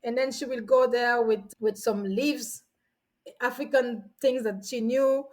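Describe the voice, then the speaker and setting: A woman's voice: a little high, steady tone, unhurried, darkish tone. A woman in her forties from São Tomé and Príncipe, a guest telling stories in a chatty way with frequent restarts. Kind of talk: casual storytelling.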